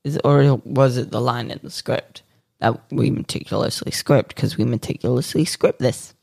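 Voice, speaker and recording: A man co-hosting a podcast, rambling through absurd improvised stories in a deadpan way with lots of fillers. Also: mid-range voice, gravelly, no room sound, clean studio sound. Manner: slow, rambling, deadpan nonsense with many fillers. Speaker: podcast co-host.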